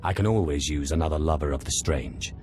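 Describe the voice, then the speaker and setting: Very deep, dryly sardonic Sith. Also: male voice, a little mellow. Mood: wry mockery. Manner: dry, wry, unhurried confidence. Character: cool, sardonic dark Sith inquisitor, master of ghosts. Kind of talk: video game voice acting.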